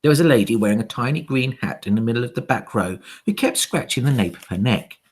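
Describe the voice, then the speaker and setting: A man reading a children's story aloud in a dramatic, theatrical way, with hushed, suspenseful dips. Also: medium pitch, animated, fast talker, husky.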